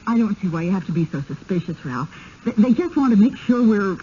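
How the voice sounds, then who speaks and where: Actress as an agreeable, mellow-voiced radio-drama wife. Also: female voice, medium pitch, animated, fairly quick, lightly acted. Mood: coaxing. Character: agreeable wife, eager and placating, keen to settle. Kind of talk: 1970s radio drama acting. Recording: muffled, hissy 1970s radio broadcast.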